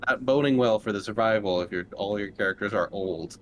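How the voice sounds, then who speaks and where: Joking man with a lowish voice. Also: brisk, fairly bright tone, a little hiss or hum. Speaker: player on a tabletop RPG show. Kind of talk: casual chat, introducing himself.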